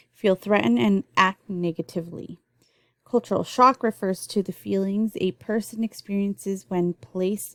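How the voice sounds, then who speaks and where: A woman's voice: mid-range voice, dry recording. Woman lecturing on nursing, explanatory with frequent ums and restarts, recorded dry. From El Salvador, raised in the US. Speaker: nursing instructor.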